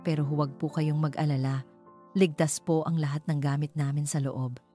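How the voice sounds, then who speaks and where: A woman voicing a calm husband in a storybook, speaking with cold, mock politeness. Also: sits low, normal speed, natural voice. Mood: sarcastic. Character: calm young husband, coldly polite and sarcastic. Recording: music behind the voice.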